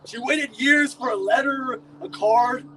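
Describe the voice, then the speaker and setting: A man's voice: very high voice, a little slow, distant mic, background noise. A man on a live outdoor stage, playing a resentful cousin who confronts a relative with accusations. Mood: accusing. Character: resentful cousin demanding answers.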